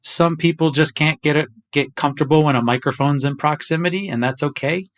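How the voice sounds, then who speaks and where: A man's voice: fairly high pitch, brisk. Thoughtful man podcast host. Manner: thoughtful, asks long questions. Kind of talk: casual chat.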